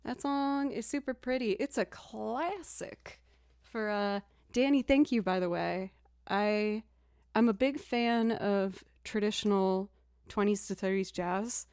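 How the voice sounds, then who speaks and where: A young woman streamer with a low alto voice chats casually between songs. She talks in a relaxed, self-deprecating way and stops often to thank viewers. The sound is close and dry, with no room echo. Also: slow, smooth. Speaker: streamer and musician. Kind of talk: casual live-stream chat.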